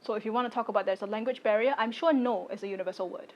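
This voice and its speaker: A young woman in her late twenties speaks with a voice of ordinary pitch for a woman. She talks in short, measured phrases that stay firm and deliberate as she makes her personal statement to the camera. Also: a little mellow. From Singapore. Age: late 20s. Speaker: content creator.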